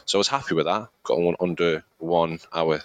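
A man's voice: deep voice, fairly quick, dry recording, highs cut off. A man co-hosting a podcast, chatting casually and rambling with restarts. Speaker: podcast co-host, amateur runner. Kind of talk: casual chat.

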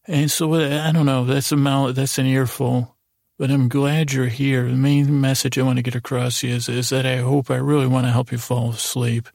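A man with a heavily creaky, gravelly voice hosts a bedtime podcast in a lulling ramble. His delivery stays flat and even, wandering off into digressions and halting restarts. The sound is close and dry, with faint music behind him. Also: mid-range voice, no echo. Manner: soothing, lulling. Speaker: host of a bedtime story sleep podcast. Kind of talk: rambling, meandering bedtime monologue.

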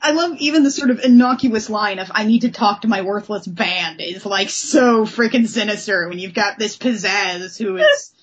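A woman's voice: medium pitch, normal speed, hardly any pauses, lo-fi sound, a little hiss or hum. A woman hosting a casual comic book discussion, speaking in an enthusiastic, informal way. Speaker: podcast host.

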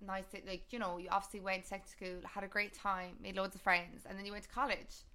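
A woman hosting a podcast, chatting casually and backing her guest with a steady stream of agreement. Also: mid-range voice, fast. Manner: chatty, supportive, lots of agreeing fillers. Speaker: podcast host. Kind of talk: casual chat.

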